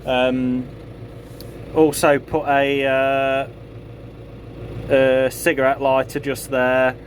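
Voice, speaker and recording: A man's voice: medium pitch, flat delivery, very slow, a little hiss or hum. A man talking informally and matter-of-factly through a hands-on job, in a casual how-to vlog recorded on a handheld phone. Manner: informal, matter-of-fact explaining. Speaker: farm vlogger showing a DIY installation.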